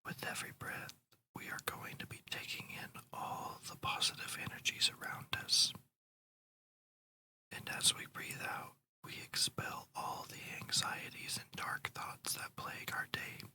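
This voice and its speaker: A host whispering a guided ASMR meditation in a breathy, slow, soothing and deliberate way. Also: pushed and bright, low-quality recording.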